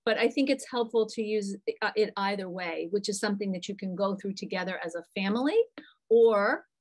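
A middle-aged woman, a psychologist, answering parents' questions in a lively, chatty way on a video call from a small room. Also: mid-range voice, fairly quick. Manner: talkative, animated, answers questions from the chat. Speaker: psychologist presenting a parent webinar. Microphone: computer mic on a video call.

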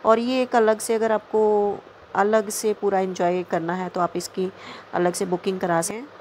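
A woman's voice: medium pitch, little pitch movement, moderate pace, darkish tone, a little hiss or hum. A young woman with a North Indian accent gives a casual, chatty vlog voice-over, mixing in English words and often checking in with the listener. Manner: mixes English words and phrases into speech. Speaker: vlogger.

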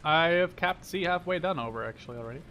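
A man's voice: a little high, animated, quick pace, pushed and bright, lo-fi sound, a little hiss or hum. A man chatting casually and self-deprecatingly with a teammate while playing a video game. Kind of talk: casual gaming chat with a teammate.

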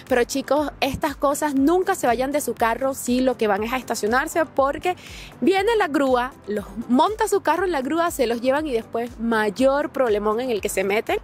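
Chatty high-voiced young woman outdoors. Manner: lively, chatty, addresses viewers directly. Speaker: vlogger. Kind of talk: advice vlog to camera. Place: outdoors in a riverside park. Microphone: small handheld clip mic.

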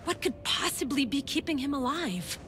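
An actress playing a sceptical, exacting middle-aged doctor in a video game, dry and precise. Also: female voice, high-pitched, sing-song, normal speed, fairly bright tone, soft background music. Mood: incredulous. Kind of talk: video game cutscene acting.

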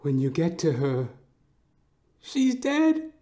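Narator horor pria, sangat lambat dan dramatis. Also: male voice, a little high, huge pitch swings, dark tone. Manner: intense, theatrical, pausing between short phrases. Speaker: narrator. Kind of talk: dramatic second-person horror narration.

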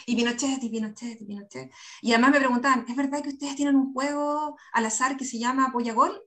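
A woman's voice: high voice, steady tone, a little fast, a bit bright. A woman with a Chilean accent speaking candidly and conversationally as an interview guest on a video call. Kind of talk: casual conversational interview talk. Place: indoor room on a video call. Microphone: computer video-call microphone.

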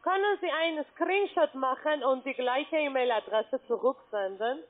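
A woman's voice: high-pitched, normal speed. A woman phone scammer speaking broken German, blunt and rude. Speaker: scam caller. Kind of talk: phone call. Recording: tinny phone line.

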